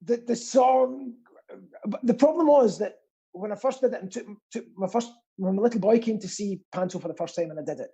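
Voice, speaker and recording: Husky, theatrical man chatting casually. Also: high voice, brisk, warm tone. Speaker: quiz host and pantomime performer. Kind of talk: casual comic chat. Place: indoors at home, remote call.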